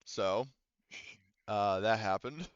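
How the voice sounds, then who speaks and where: A man running a tabletop roleplaying game as game master, explaining things casually in a halting way. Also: lowish voice, smooth, dry recording, lo-fi sound.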